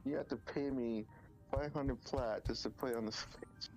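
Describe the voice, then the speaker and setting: A man's voice: mid-range voice, fairly even intonation, moderate pace, darkish tone, faint music behind. A young man chatting casually about games in a slangy, rambling way, on a dull recording. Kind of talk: casual gaming chat.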